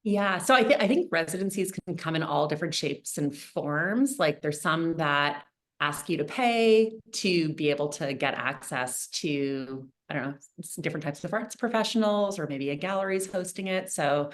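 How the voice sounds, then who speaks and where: Chatty low-voiced woman, casual interview. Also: moderate pace. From Canada. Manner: chatty, many fillers and self-restarts. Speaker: guest artist.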